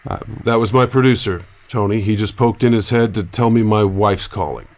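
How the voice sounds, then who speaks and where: An actor playing a careful, folksy radio reporter in an audio drama, speaking politely and hesitantly to placate someone. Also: male voice, on the low side, moderate pace, a little mellow, lightly acted. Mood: uneasy, placating. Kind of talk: naturalistic audio drama acting.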